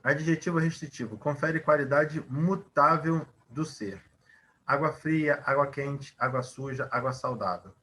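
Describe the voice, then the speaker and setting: A man's voice: a little high, unhurried, bright, forceful tone. A man teaching a Portuguese grammar lesson, explaining at length, asking rhetorical questions and using many fillers.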